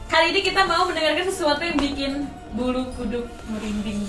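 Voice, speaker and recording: A woman's voice: high-pitched, fairly even intonation, fairly quick. A woman hosting a podcast, giving a casual introduction to listeners. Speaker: podcast host. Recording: echoey, distant mic, music behind.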